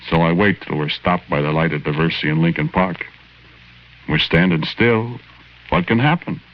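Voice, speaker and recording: A man's voice: low voice, normal speed, natural voice. An actor playing a hapless, lovelorn American ghost who tells his own story in a folksy, hesitant, ungrammatical way in a 1940s radio drama. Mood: rueful, matter-of-fact. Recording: muffled 1940s radio recording, faint music.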